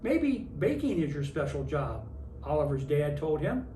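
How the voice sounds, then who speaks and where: Expressive storybook reader in an echoey room. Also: mid-range voice, unhurried. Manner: expressive storytelling with acted character lines. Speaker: storybook reader in character as Santa Claus. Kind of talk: children's picture book read-aloud. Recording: roomy, distant mic with faint noise.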